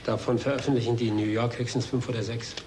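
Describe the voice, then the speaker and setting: Casting: man playing distracted working dad, medium-pitched voice, natural radio-play delivery, noisy background. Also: flat delivery, fast talker, lightly acted. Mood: preoccupied. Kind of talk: naturalistic German audio drama dialogue.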